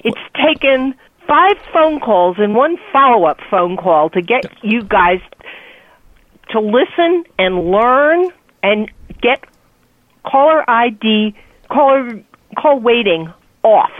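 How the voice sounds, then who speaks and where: A woman's voice: fairly high pitch, big pitch swings, slow pace, no echo. An irritated woman on the phone, complaining with indignation. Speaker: prank call target. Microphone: telephone. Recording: tinny phone line.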